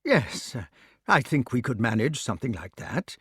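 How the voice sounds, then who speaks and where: A man's voice: medium pitch, sing-song, moderate pace, slightly rough, no echo, studio quality. A man voicing an older king, earnest and kindly, with a worried edge. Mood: hopeful.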